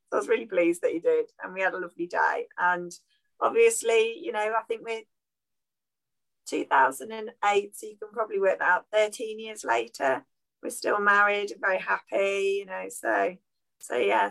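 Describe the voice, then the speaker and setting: A woman in her thirties chats on a podcast with a bright, forward tone. Her voice has a gravelly fry, and she laughs easily as she talks. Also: medium pitch, poor audio. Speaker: podcast co-host. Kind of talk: casual live podcast chat.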